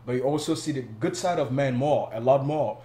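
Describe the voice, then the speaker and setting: A man from Cameroon talks as a guest on a studio panel. His voice sits at a middle pitch, and he explains his ideas in a measured way, restarting phrases now and then. The room around him is noticeably echoey. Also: warm tone. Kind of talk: casual panel discussion.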